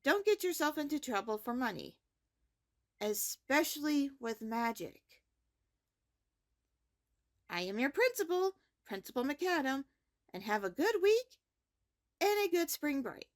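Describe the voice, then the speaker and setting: A woman playing a caring, orderly high school principal giving scripted morning announcements in a fantasy series. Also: sing-song, unhurried, pauses often. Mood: earnest, cautionary.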